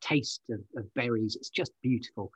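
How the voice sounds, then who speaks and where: A man's voice: mid-range voice, normal speed, husky, no echo, lo-fi sound. A man hosting a conversational interview, effusive and appreciative in the way he responds. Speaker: podcast host.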